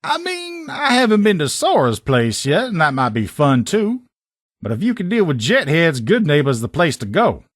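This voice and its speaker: A man's voice: on the high side, wildly swooping pitch, normal speed, fairly bright tone, dry recording, studio quality, full character voice. A man playing a brash sheriff's lieutenant and proud armor mechanic in a video game, blunt, sardonic and salty-tongued. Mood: matter-of-fact. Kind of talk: video game character dialogue.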